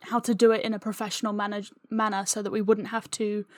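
A woman's voice: medium pitch, little pitch movement, fast talker, darkish tone, no room sound. Wry woman chatting, dry close sound. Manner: wry, self-deprecating. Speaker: podcast guest, writer. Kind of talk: casual interview chat.

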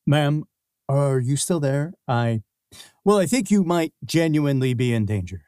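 Greedy schemer co-host, warm tone, lively pitch. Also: measured pace, clear, resonant tone, no room sound, studio quality. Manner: greedy, scheming, hesitant fillers. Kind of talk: comedic audio sketch.

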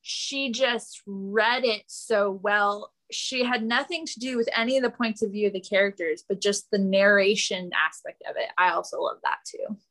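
A woman's voice: medium pitch, moderate pace, few pauses, a bit bright, low-quality recording. A woman guest on a podcast, chatting in an enthusiastic, informal way. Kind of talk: casual chat.

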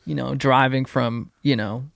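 Gravelly man around thirty, rambling. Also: medium pitch, measured pace, a crowd in the background. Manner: relaxed, rambling, swears casually. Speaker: podcast host. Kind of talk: casual podcast chat.

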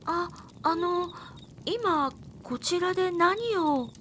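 A woman's voice: extremely high pitch, animated, extremely slow, a bit bright, slight background noise, exaggerated voice. An actress playing a shy, polite young woman with a timid, hesitant manner in a radio drama.

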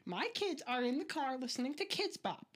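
A young man doing a shrill, entitled middle-aged woman, pitched well above his own voice. She scolds in a drawn-out, bossy way. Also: very animated, a bit bright, full character voice. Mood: scolding.

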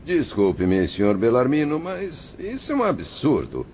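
A man playing a courteous but indignant gentleman, formal and emphatic, in a radio drama. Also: mid-range voice, wildly swooping pitch, measured pace. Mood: indignant protest. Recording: dull lo-fi with faint noise.

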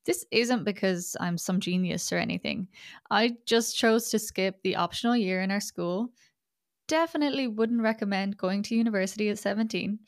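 A woman's voice: medium pitch, no echo, studio quality. A young Irish woman with an Irish accent hosting a podcast, talking in a chatty, self-deprecating and wry way. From Ireland. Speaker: podcast host. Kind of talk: scripted podcast monologue.

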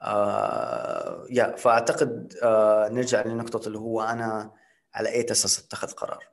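A man's voice: fairly low pitch, expressive, brisk, vocal fry. A man speaking as a podcast guest, explaining ideas through rhetorical questions and English terms. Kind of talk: casual podcast conversation.

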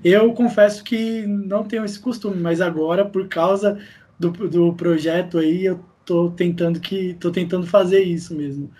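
A Brazilian man with a fairly high yet soft, warm-toned voice chats as a podcast guest. The talk is informal and conversational. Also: fairly even intonation, moderate pace. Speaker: podcast guest, audio drama creator. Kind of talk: casual interview chat.

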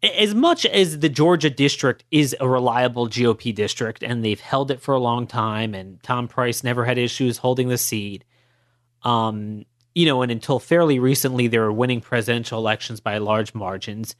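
Hoarse male podcast host, emphatic argumentative monologue, dry close sound. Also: medium pitch, moderate pace, no room sound. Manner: emphatic, argumentative, rhetorical questions. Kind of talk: opinionated political monologue.

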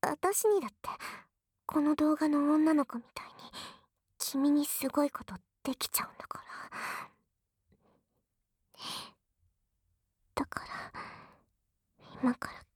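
A woman's voice: high voice, moderate pace, a little mellow, no room sound, close to an everyday voice. A voice actress playing a young tsundere girlfriend, bashful yet teasingly bold, in an intimate ASMR audio drama on a close binaural mic. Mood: teasing whisper. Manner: flustered, stammering, breathy asides dropping into whispers. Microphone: close binaural microphone, dry studio.

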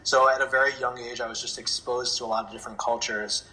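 A man with a bright, clear-edged voice talks in a reflective interview. His pitch is average for a man. He sounds earnest and open, choosing his words with some hesitation. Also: level tone, fast, rough recording. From born in America to Indian parents, grew up in several countries. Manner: earnest, candid, hesitant with frequent throat clearing. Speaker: interview guest, dance music producer.

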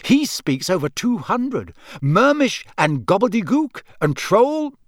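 A man's voice: sits high, sing-song, slow, pushed and bright, no echo, studio quality, pitched above the performer's own voice, full character voice. A man voicing a pompous, eager young wizard in an audiobook, fawning and breathless in manner. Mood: excited, showing off. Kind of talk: audiobook character voice.

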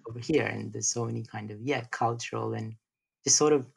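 A young man from North India, chatting casually as a podcast guest in a relaxed, rambling way full of fillers and restarts. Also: medium pitch, brisk, creaky, husky. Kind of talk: casual interview chat.

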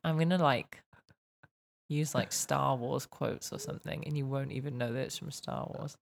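A woman's voice: extremely low pitch, steady tone, moderate pace, creaky, a little hiss or hum. A woman chatting playfully with her fiancé, talking freely and saying "like" often. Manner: playful, chatty, many "like" fillers. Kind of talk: casual couple chat.

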